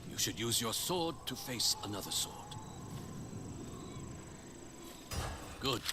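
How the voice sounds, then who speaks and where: An actor playing a seasoned spear master in a video game, a stern yet encouraging teacher with a measured, commanding, instructive manner. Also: male voice, mid-range voice, animated, lightly acted. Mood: firm, instructive. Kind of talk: video game character acting. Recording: clear noise of game ambience.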